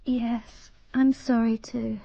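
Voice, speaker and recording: An actress in a radio drama playing an intense, obsessive young woman lover, earnest and solemn, with a soft breathy voice. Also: fairly high pitch, little pitch movement, slow, no room sound, muffled recording. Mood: hurt, pleading.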